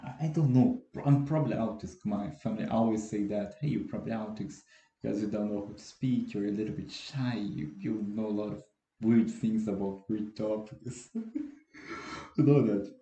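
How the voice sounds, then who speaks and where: An eighteen-year-old man from Brazil with a Brazilian Portuguese accent, speaking English as a second language. He hosts a casual solo podcast and talks in a halting, self-deprecating way, often restarting his words. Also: on the low side, mellow. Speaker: podcast host. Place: room at home, dogs barking outside. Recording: echoey room.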